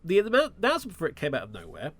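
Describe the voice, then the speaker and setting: A man's voice: a little high, wildly swooping pitch, very fast. A man hosting a solo podcast, sounding nasal and congested from a cold, talking with sarcastic, wry asides. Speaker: podcast host.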